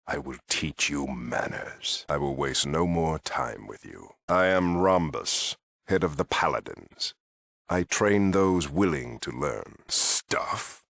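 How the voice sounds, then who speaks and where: An actor playing a stern, proud paladin commander in a video game, talking in a clipped, formal, haughty way. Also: male voice, rumbling low, expressive, slow, a bit bright, dry recording, clean studio sound, exaggerated voice. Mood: haughty, angry. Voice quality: gravelly. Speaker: head of a military order. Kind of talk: dramatic video game dialogue.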